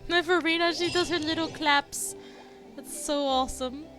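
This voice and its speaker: A woman's voice: high-pitched, fairly even intonation, normal speed, a bit bright. A woman streamer giving casual gameplay reactions, with game music behind her.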